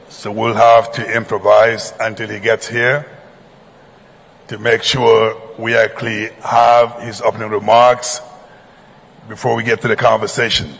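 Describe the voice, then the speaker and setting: A man's voice: medium pitch, vocal fry, a bit bright. Senegalese man moderating in a reverberant hall. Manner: formal, courteous, improvising through delays. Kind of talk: conference stage moderation. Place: large conference hall.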